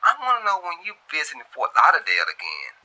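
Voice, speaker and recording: A man's voice: fairly high pitch, wildly swooping pitch, quick pace, pushed and bright, voice pushed up, exaggerated voice, rough recording. A man voicing a sassy, headstrong young woman in love, in the colloquial dialogue of an audio story. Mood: curious. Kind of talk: acted dialogue in a narrated story.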